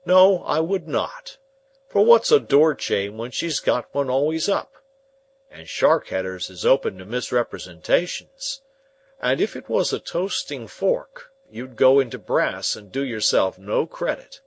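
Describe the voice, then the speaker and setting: A man voicing a slow, kindly working-class blacksmith, speaking with rustic grammar in a ponderous, emphatic way. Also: medium pitch, very animated, husky, voice pushed up, full character voice. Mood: earnest.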